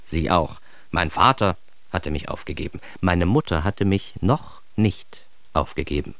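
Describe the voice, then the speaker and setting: A man's voice: low voice, a little slow, vocal fry, fairly bright tone, no echo, exaggerated voice. A man playing a neurotic, self-mocking narrator of his own childhood in a radio drama, in standard German with a wry, theatrical manner.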